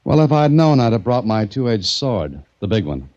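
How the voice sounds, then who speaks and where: An actor playing a wisecracking private investigator who narrates in a 1940s radio drama, with a dry, sardonic manner. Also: male voice, mid-range voice, very animated, normal speed, darkish tone, close to an everyday voice. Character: wry, wisecracking adult male private investigator. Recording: muffled old radio broadcast with faint music.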